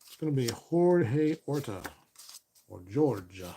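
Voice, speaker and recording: Relaxed man calling a tabletop baseball replay. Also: medium pitch, slow, a little hiss or hum. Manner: relaxed, self-correcting, counting stats aloud. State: voice getting a little raspy. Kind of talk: play-by-play commentary of a board game replay.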